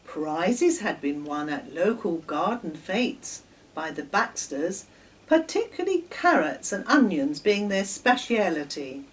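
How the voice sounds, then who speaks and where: An English woman in her sixties tells children's stories in a lively, swooping voice. She takes her time and leans on words theatrically. The microphone sits some way off in a kitchen. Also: on the low side, slow pace. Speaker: children's storyteller. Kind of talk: expressive read-aloud storytelling for children. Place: home kitchen, indoors. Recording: distant microphone in a room.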